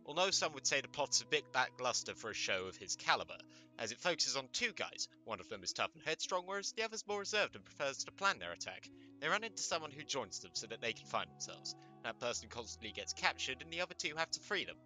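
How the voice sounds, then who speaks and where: Animated, very bright-voiced man, sarcastic commentator. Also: mid-range voice, fast, low-quality recording. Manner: sarcastic, mock-exasperated, sweary. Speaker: host of an anime commentary video channel. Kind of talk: scripted comedic commentary. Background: music under the voice.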